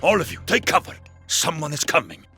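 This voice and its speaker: A man voicing an excitable, wary dwarf on a mission in a video game cutscene, with a raspy voice. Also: fairly high pitch, fairly quick, faint music behind, full character voice. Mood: urgent warning.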